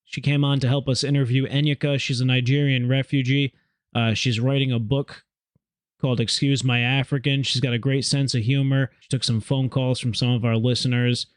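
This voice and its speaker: A male podcast host with a smooth, even voice that stays flat in pitch. He sounds friendly and casual, and the sound is dry and close. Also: no echo. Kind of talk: casual interview hosting.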